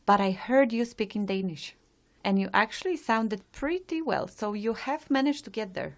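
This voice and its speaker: A woman's voice: mid-range voice, normal speed. Woman cohost reading out facts, asks true or false, easygoing. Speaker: co-host. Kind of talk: presenting facts on a live show.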